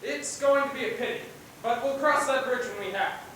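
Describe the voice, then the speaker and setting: Casting: female student actor as a measured, formal scientist professor, high-pitched, heard from a distance on stage. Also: fairly bright tone. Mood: impressed. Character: confident, formal professor running an experiment. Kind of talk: amateur student stage acting. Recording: echoey stage, distant mic, faint hiss.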